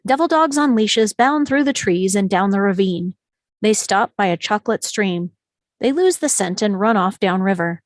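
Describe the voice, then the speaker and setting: A woman's voice: mid-range voice, lively intonation, normal speed, no room sound, studio quality. A woman reading screenplay stage directions as scripted narration.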